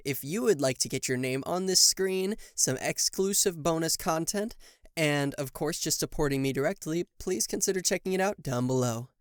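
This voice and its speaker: A man hosts in a casual, conversational style, speaking straight to his audience. His voice is on the higher side. The recording is very dry, without room sound. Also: moderate pace, clean tone, dry recording. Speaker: voice actor and channel host. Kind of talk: casual video intro with thanks to supporters.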